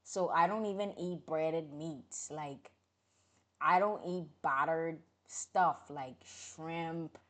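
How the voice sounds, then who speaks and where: Outspoken woman with a low voice. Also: slow. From Bahamas. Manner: animated, outspoken, jumps between loud outbursts and low mutters. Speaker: livestreamer. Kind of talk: casual livestream chat, greeting viewers.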